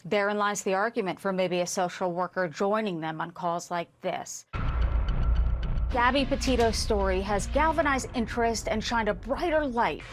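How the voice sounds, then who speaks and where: A woman's voice: mid-range voice, normal speed, soft background music. A woman hosting a broadcast news discussion and putting questions to panelists.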